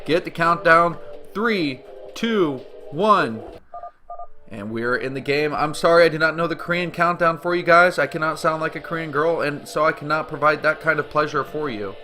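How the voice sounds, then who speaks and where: A man casting a strategy game replay in an upbeat, explanatory way, stumbling now and then. His voice sits a little high. Music plays clearly behind him. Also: normal speed, bright, forceful tone. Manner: upbeat, explanatory, frequent fillers and restarts. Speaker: game caster. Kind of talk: esports play-by-play commentary. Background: background music at the start.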